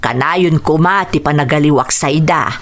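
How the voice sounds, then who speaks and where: An actress with an Ilocano accent playing a woman of a family in an expressive, emotive radio drama style. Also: deep voice, moderate pace. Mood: fretful, disapproving. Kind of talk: Filipino radio drama acting.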